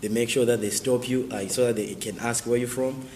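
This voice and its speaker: A young man with a Zimbabwean accent giving casual, halting interview answers peppered with "like". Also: mid-range voice, fairly even intonation, darkish tone, in a large hall, a little hiss or hum. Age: young adult. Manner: halting, repeats "like" constantly. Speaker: student athlete interviewee.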